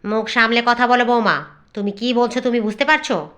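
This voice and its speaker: A woman's voice: a little high, a little fast, fairly bright tone, natural voice. Woman acting a bossy, scolding mother-in-law. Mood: outraged. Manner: commanding, stern. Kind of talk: cartoon voice acting.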